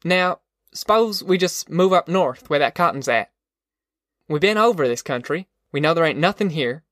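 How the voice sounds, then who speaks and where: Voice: medium pitch, lively intonation, normal speed, fairly bright tone, dry recording, studio quality, lightly acted. A narrator voicing a weary, sensible young farm man with plain rustic speech in an audiobook. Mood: easygoing, practical. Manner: folksy rustic dialect, plain-spoken. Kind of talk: audiobook character voice.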